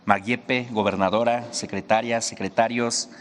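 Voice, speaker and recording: A man's voice: lowish voice, steady tone, measured pace, a little hiss or hum. Solemn man speaking in a reverberant hall. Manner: solemn, ceremonial. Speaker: official presenter. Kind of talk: formal speech.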